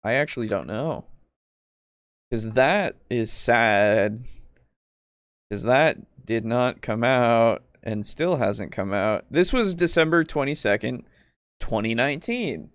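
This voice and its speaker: Animated, creaky-voiced man chatting on stream. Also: medium pitch, no room sound. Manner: halting, self-deprecating, jokey asides. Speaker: host of a tabletop roleplaying livestream. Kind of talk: casual livestream chat.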